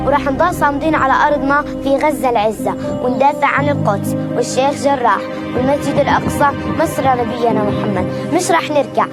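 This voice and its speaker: Female, Palestinian Arabic accent, impassioned recitation, high pitch, loud music underneath.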